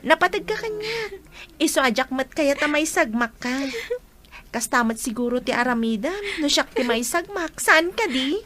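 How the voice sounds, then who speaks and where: Radio serial role: helpful, earnest young woman, protective, with a strikingly high voice. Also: expressive, moderate pace, bright, forceful tone. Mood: reassuring, earnest. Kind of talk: Ilocano radio drama acting.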